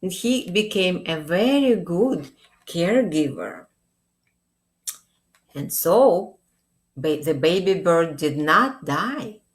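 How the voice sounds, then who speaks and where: A woman in her forties, a children's librarian, telling a picture-book story to young children in a deliberate, expressive way with check-in questions. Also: lowish voice. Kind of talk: read-aloud storytime addressed to young children. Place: small indoor room, plain wall.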